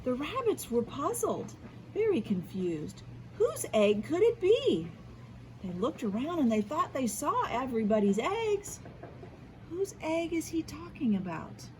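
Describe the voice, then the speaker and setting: Slow-paced American woman reading to children. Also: sits high, a little hiss or hum, poor audio. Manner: expressive, encouraging, asks questions and pauses for answers. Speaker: school media specialist reading aloud to children. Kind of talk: read-aloud storytelling and lesson for young children.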